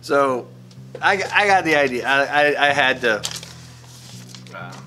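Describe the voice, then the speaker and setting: A man in his fifties chatting casually to his vlog audience in a halting way, often restarting sentences and repeating words. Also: mid-range voice, animated, pushed and bright, soft background music. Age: 50s. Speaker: vlogger. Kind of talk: casual rambling vlog chat.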